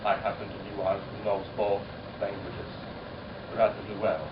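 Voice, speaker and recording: A man whose first language is Polish, speaking as a translator at a panel discussion, thoughtful and halting, often rephrasing as he goes. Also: on the low side, flat delivery. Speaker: poet-translator. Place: university common room. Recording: echoey room, distant mic, muffled, noisy.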